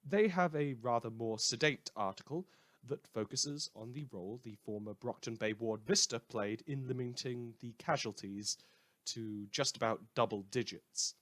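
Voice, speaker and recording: A man's voice: mid-range voice, normal speed, a bit bright, natural voice. A man playing a sardonic radio newsreader in a post-apocalyptic world, reading scripted satirical news with dry, rambling asides. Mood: dry, deadpan. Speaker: radio host.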